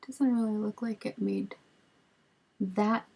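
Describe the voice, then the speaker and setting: A woman in her twenties, raspy and a bit hoarse from a cold, walks through her makeup routine in a relaxed, chatty way. Her voice is low-key and mellow, flat in tone, and unhurried. She talks to a webcam in a small bedroom. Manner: relaxed, chatty, lots of ums while applying makeup. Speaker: beauty vlogger. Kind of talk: makeup tutorial chat. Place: small bedroom with storage shelves, indoors. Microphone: webcam at arm's length.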